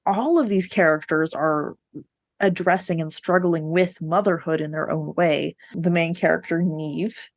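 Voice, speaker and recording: A woman's voice: low voice, measured pace. A woman co-hosting a podcast, casually discussing books in a candid, opinionated way with a readiness to laugh. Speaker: podcast co-host.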